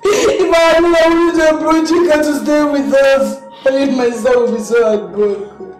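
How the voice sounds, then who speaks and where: An actress with a Nigerian accent playing a distraught, guilt-ridden wife in an emotional film melodrama, pleading tearfully and repeating herself. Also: female voice, high voice, fairly even intonation, unhurried. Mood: pleading grief. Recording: roomy echo, loud music underneath.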